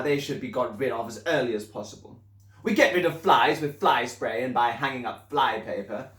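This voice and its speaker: A man voicing a huge, bullying female headmistress who rants in booming, scornful tirades. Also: fairly bright tone, natural voice. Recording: roomy echo.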